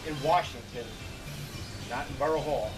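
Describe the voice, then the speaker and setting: A man's voice: medium pitch, moderate pace. A man answering questions as a political candidate at an informal meeting, speaking colloquially and repeating himself. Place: public meeting, recorded at a distance. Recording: far from the mic, loud music behind.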